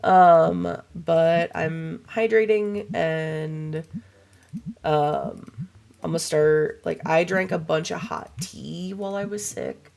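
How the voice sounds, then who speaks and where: Young woman streamer, low gravelly voice, still hoarse from a cold, slow rambling chatter, swears loosely. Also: fairly even intonation, darkish tone. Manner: rambling, swears casually, chats to viewers. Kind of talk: casual livestream chat while gaming.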